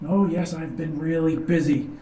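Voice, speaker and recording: A man's voice: a little high, steady tone, normal speed, dark tone, reverberant, a little hiss or hum, voice pushed up, close to an everyday voice. A man voicing a chirpy old dragon ship mind in a tabletop game, theatrical and giggly, with old-fashioned polite phrasing. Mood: cheerful, eager. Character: chirpy, chatty old dragon ship consciousness, playfully dramatic.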